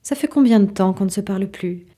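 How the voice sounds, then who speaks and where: A woman's voice: mid-range voice, brisk, warm tone, husky, natural voice. A woman playing an impatient wife and mother, narrating a scripted audio drama in the second person. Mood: eager.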